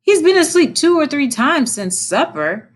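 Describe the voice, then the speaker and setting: A woman voicing a friendly, homely male mole in a storybook, gentle and curious in manner. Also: high voice, big pitch swings, a little slow, pitched above the performer's own voice. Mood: amused.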